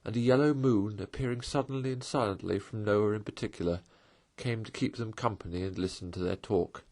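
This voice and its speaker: A man reading a classic children's novel aloud in a measured, expressive way. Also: on the low side. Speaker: audiobook reader. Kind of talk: amateur storybook read-aloud.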